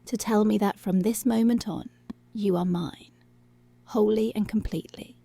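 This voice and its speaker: A woman playing a dominant, seductive policewoman in an intimate ASMR roleplay, giving hushed, deliberate commands that are possessive yet tender, close to the microphone. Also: mid-range voice, steady tone, gravelly, dry recording. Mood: firm, possessive. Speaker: ASMR voice actor. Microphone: close, dry microphone.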